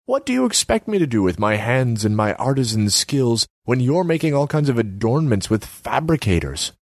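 Man voicing haughty young female artist, high, preachy, dry close sound. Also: very animated, normal speed, no room sound. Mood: haughty lecturing. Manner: scornful, lecturing, self-important.

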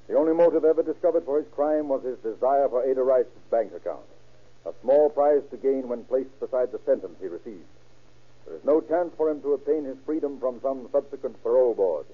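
A man with a medium-pitched voice narrates a vintage crime broadcast. His scripted delivery is solemn and declamatory, and the sound is narrow and tinny like old radio. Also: husky. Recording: tinny, band-limited old radio recording.

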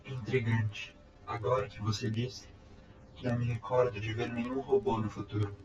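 A man playing a calm, courteous robot time traveler in an audio drama, speaking in formal, measured reports. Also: mid-range voice, natural voice. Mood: puzzled, courteous. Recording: echoey, dull amateur sound, music behind.

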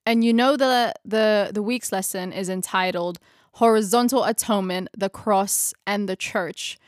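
A young woman with a Tagalog mother tongue leads a bible study in English, her voice sitting at a middling pitch. She speaks reflectively and pauses to search for the right word. The recording is close and dry, with no room sound. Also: no room sound. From Philippines. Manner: reflective, searching for words, laughs at herself. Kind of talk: closing a bible study discussion.

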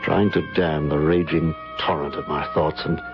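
An actor playing an ardent, insistent lover in an old-time radio drama, speaking in an earnest, florid, impassioned way. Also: male voice, a bass voice, fairly even intonation, normal speed. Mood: brooding recollection. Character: ardent, insistent male lover recalling a haunted romance. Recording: muffled lo-fi old radio, music behind.

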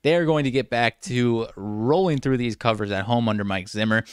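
A man's voice: medium pitch, moderate pace, smooth, no echo, studio quality. A man co-hosting a sports betting show, talking through his picks in a casual, rambling way and correcting himself. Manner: rambling, stumbles and self-corrects.

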